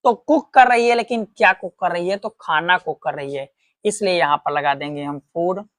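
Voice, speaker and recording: A woman's voice: on the low side, moderate pace, no echo, clean studio sound. A woman teaching a patient, step-by-step grammar lesson, asking questions and answering them herself. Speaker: English teacher.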